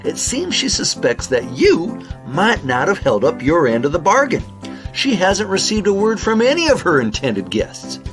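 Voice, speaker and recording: A man plays an earnest raccoon sheriff for a children's audio mystery. The voice is high and swings lively in pitch, folksy and inquisitive, with music behind it. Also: moderate pace. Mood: curious, businesslike. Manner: animated, folksy, wordplay-loving. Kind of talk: children's audio drama acting.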